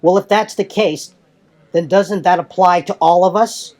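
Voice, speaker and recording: A man's voice: high-pitched, fairly even intonation, measured pace, a little mellow, pitched above the performer's own voice. A man playing a loyal, defiant young male warrior in an insistent, argumentative manner.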